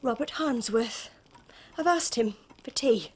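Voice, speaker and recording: An actress playing a quiet, secretive young woman in a period drama, soft and confiding. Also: a little high, expressive, slow pace, slightly rough, exaggerated voice.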